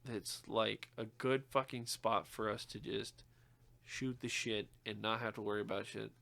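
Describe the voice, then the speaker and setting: A man's voice: fairly even intonation, moderate pace, vocal fry, bright, forceful tone. A young man co-hosting a podcast in casual, profane chat, joking and swearing. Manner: swearing, joking, with sudden high-pitched outbursts. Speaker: podcast co-host.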